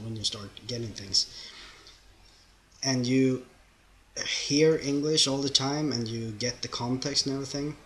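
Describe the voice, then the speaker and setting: A man's voice: mid-range voice, bright, forceful tone. A young man from Sweden with a Swedish accent, rambling casually through viewer questions in his second language. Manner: chatty and rambling, loose grammar, frequent fillers. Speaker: YouTuber answering viewer questions. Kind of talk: casual Q&A vlog. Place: home, lives in Norway.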